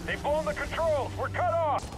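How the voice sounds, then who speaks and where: An actor playing a tough, blunt army sergeant in a game cinematic. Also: male voice, very high voice, animated, normal speed, very noisy. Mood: urgent.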